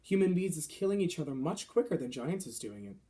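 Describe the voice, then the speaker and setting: A man voicing a big, friendly, gently indignant giant from a children's storybook, speaking in quaint broken grammar with mangled words. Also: on the high side, big pitch swings, a little fast. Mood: earnest, matter-of-fact.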